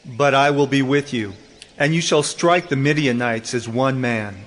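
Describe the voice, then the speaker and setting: A man playing a calm, majestic angel in a dramatized scripture reading, assured, formal and commanding. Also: medium pitch, moderate pace, natural voice. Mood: calm assurance. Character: angel of the Lord, calm, majestic male messenger. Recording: long reverb, music behind.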